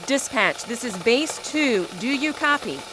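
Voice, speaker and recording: Anxious, high-voiced female base radio operator. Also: lively intonation, unhurried, noisy background. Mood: puzzled, uneasy. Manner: radio-procedure call-outs, increasingly urgent. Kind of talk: audio drama acting.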